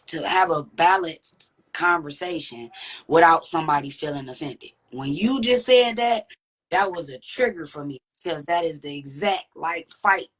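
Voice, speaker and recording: Woman, low pitch, deep raspy voice, earnest, reflective, candid interview talk. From Texas. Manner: reflective, earnest, with frequent "you know what I'm saying". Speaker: podcast guest.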